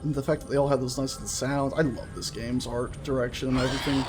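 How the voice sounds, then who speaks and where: A man's voice: mid-range voice, fairly even intonation, fast. A man hosting a gaming video with casual, chatty, playful and enthusiastic let's-play commentary. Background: game music.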